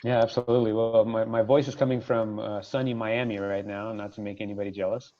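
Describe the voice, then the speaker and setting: A man's voice: on the low side, level tone, quick pace, dark tone, slight background noise. A man from Ukraine who grew up in Colorado, speaking casually and a little hesitantly as a webinar participant. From born in Ukraine, grew up in Colorado from age nine. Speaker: webinar participant, study abroad company owner. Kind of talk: casual introduction in a discussion. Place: remote online call. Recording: dull lo-fi online call audio.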